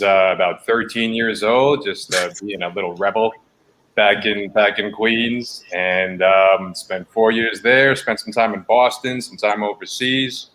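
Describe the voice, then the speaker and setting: An American man in his forties speaks as a guest actor in a relaxed conversation. His voice sits a little low, and he pieces his account together haltingly and reflectively. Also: low-quality recording. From New York City, United States. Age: 40s. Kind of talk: casual chat.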